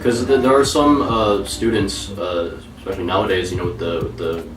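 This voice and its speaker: A teenage boy with a very low voice asks halting questions, tripping over restarts and fillers. He sounds set back from the mic in a roomy studio, with music running underneath. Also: steady tone, distant mic. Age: teens. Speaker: student interviewer. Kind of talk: school project interview. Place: recording studio.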